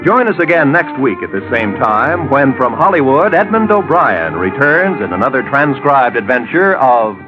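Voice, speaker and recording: A man's voice: medium pitch, very animated, moderate pace, hardly any pauses, over loud music. An American man announcing credits in formal mid-century radio style. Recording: muffled vintage radio broadcast.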